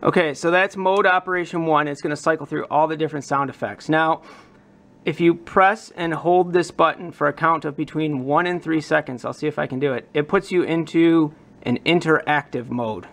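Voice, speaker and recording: A man's voice: fairly high pitch, fairly even intonation, normal speed, a bit bright, slight background noise. A man presenting a prop modding demonstration in an informal, enthusiastic, casual explanatory way. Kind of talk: casual explanatory hobby vlog.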